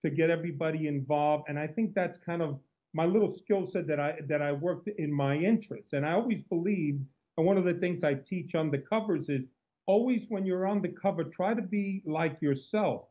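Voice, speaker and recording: A man with a Cuban accent, a former undercover agent, telling stories in an outgoing way as an interview guest. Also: on the high side. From Cuba. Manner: outgoing, storytelling, frequent restarts. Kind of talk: interview conversation. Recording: tinny phone-like line.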